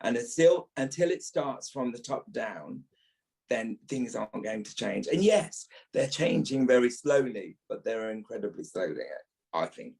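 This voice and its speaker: A man's voice: medium pitch, sing-song, a little slow, gravelly, a little mellow, poor audio. A man in his fifties speaking as an actor being interviewed, reflective, stressing points by repeating phrases. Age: 50s.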